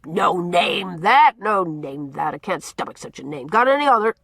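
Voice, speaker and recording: A woman doing a booming, bossy old man. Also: lively intonation, moderate pace, fairly bright tone, breathy edge, no room sound, clean studio sound, heavily acted. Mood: blustering. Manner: booming, repeats himself, teasing. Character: blustering gruff old man, loud and bossy.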